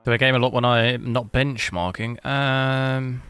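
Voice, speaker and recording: A man streaming a game, chatting casually with rambling, halting restarts. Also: medium pitch, steady tone, fairly bright tone, clean tone, dry recording. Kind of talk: casual live stream chat.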